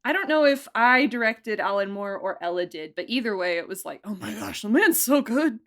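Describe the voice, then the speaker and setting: Young adult woman, chatty and giggly, casual interview guest, mid-pitched. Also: lively intonation, brisk, a bit bright. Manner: chatty, laughs often, lots of fillers and restarts. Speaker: producer and director being interviewed.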